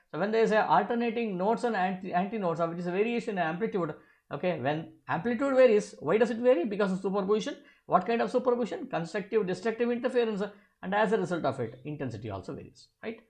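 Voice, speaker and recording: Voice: medium pitch, animated, fast talker, a little mellow. Didactic physics teacher, mid-range voice. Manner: didactic, asks and answers own questions; repeats key phrases. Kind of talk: step-by-step exam problem walkthrough.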